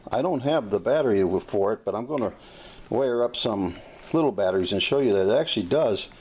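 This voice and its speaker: An American man giving a casual, unscripted demonstration as a hobbyist, talking in a folksy, plain-spoken, halting way. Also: on the low side, a little mellow. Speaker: hobbyist demonstrating a vintage gadget. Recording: clearly noisy amateur recording.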